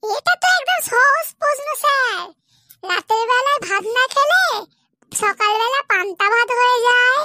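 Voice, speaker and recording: A performer voicing a confident young school pupil in a comedy cartoon, earnestly reciting answers in a squeaky voice. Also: moderate pace, pushed and bright, no room sound, heavily acted.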